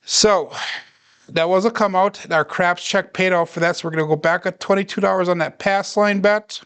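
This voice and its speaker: Man, fairly high voice, smooth clean tone, calm steady livestream narration, bet-by-bet commentary. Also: moderate pace. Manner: calm, steady, talks viewers through each bet. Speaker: craps practice live streamer. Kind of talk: casual play-by-play livestream narration.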